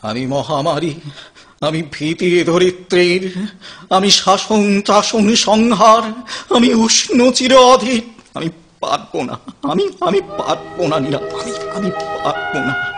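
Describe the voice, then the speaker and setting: An actor playing a despairing, irritable young drug addict in an audio drama, agitated and weary in manner. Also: male voice, high-pitched, expressive, slow pace, fairly bright tone, slightly rough, heavily acted. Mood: strained anguish. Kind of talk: Bengali audio drama acting.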